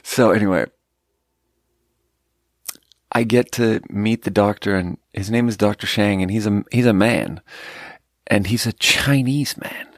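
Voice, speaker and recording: A man's voice: fairly low pitch, unhurried, pauses often, no echo, studio quality. A man from Sweden, hosting a podcast, telling a rambling, profane story in casual chat and laughing at his own jokes. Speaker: podcast host.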